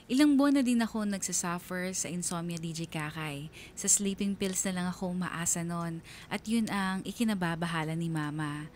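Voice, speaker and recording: A woman's voice: lowish voice, normal speed, a little mellow, slight background noise, natural voice. An actress playing a regretful, self-doubting young woman who narrates her own story in a confessional radio drama style. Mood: worried, reflective. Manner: confessional, reflective. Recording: music bed under the voice. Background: background music.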